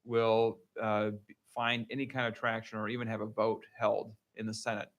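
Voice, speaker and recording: Guy legislator at a town hall, gravelly and pretty flat, lots of ums. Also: on the low side, lo-fi sound. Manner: measured, hedging, with fillers. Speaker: state legislator. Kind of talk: answering constituents at a town hall. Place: public meeting room.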